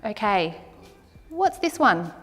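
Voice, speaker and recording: A woman in her thirties teaching a language class, speaking slowly and repeating words so learners can model the sounds. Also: mid-range voice, very animated, pushed and bright, long reverb tail, soft background music. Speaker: ESL teacher. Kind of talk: classroom teaching, slow and clear. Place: large classroom with brick wall, indoors.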